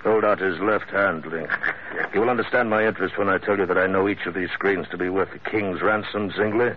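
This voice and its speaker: An actor playing a suave middle-aged villain in an old-time radio drama, speaking in courtly, ornate sentences with polite menace. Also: male voice, low voice, steady tone, slight background noise, close to an everyday voice. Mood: coolly cruel. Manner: courtly, unhurried, ornate formal speech. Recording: muffled, phone-like old radio recording.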